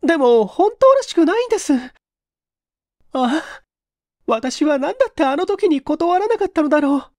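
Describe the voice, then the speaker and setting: A woman plays a young office worker in a dramatized mystery reading. Her voice is very high and closely recorded without room sound. She speaks in deferential, formal phrases, hesitant and distressed as she confesses. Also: big pitch swings, normal speed, pauses often, a little mellow, no echo, studio quality. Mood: anxious, reluctant.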